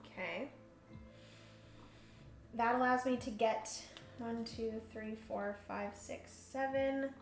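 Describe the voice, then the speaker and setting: A woman's voice: a little high, fairly even intonation, slow, long pauses, faint music behind. A woman hosting a solo board game livestream, chatty, thinking aloud and laughing often. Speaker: host. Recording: roomy room echo, faint hum.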